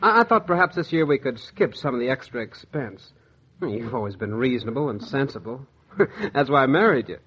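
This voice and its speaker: Mild 1940s radio husband, muffled. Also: male voice, medium pitch, very animated, brisk, husky. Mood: gently hesitant. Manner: hesitant, gentle, conciliatory. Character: mild, practical, apologetic husband.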